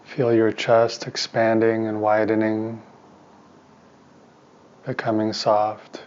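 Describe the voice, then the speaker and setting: A man teaching meditation in a soft voice, giving calm, soothing instructions in short, spaced phrases. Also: on the low side, takes it slowly, highs cut off. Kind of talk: guided meditation.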